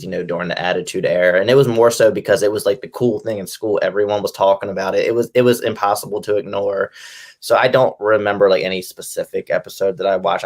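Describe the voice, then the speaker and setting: A man's voice: low voice, gravelly. A man in his twenties giving a casual, conversational interview as a guest, loose and informal in phrasing. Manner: conversational, peppers speech with "like" and "you know". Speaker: interview guest, wrestling fan and promoter. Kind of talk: casual chat.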